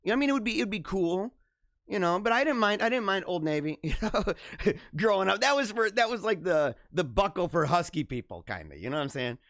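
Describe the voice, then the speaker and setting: A young man hosting a casual live-streamed talk show, with a slightly high voice that swoops up and down a lot. He chuckles often and repeats himself. The sound is dry and close, with no room echo. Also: animated, quick pace, a bit bright. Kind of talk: casual live-stream chat reading viewer comments.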